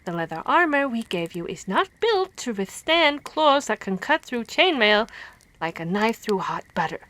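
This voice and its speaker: A woman playing a female vampire hunter who scolds and cares for her comrade, in an expressive, stammering ASMR roleplay. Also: a little high, moderate pace. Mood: scolding, worried.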